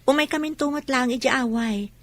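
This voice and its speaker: A woman's voice: high voice, brisk, dry recording, natural voice. A woman with an Ilocano accent acting a sensible, friendly young village woman in a radio drama. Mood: advising.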